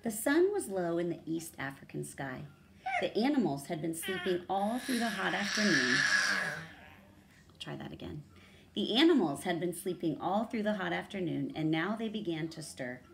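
A woman in her thirties reading a children's story aloud and pausing to explain words, thinking aloud like a teacher. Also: on the low side, big pitch swings, gravelly, noisy background. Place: small room at home, indoors.

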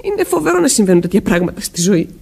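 Troubled wife in a radio play, husky medium voice, pleading and anxious. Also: female voice, mid-range voice, sing-song, a little mellow, exaggerated voice. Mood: anguished, guilty. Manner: emotional, pleading, breaking into whispers. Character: anxious, guilt-haunted married woman, former schoolteacher. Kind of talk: Greek radio drama acting.

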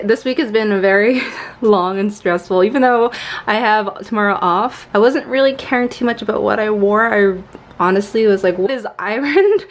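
Upbeat young woman vlogging at home. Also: mid-range voice. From Montreal, Canada. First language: English. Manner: chatty and upbeat, laughs often. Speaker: lifestyle vlogger. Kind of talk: casual vlog chat to camera. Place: small bedroom at home. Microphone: handheld camera at arm's length.

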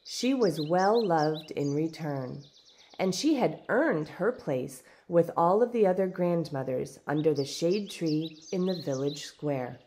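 A woman reading a storybook aloud in expressive, deliberate, dramatic narration. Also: low voice. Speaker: storybook reader. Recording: background noise with birdsong.

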